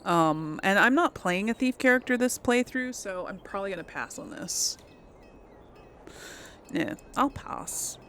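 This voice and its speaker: A woman's voice: medium pitch, expressive, unhurried, fairly bright tone, a little hiss or hum. A woman doing casual let's play commentary, informal and thinking aloud as she goes. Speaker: game streamer. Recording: faint game sounds behind voice. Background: video game ambience.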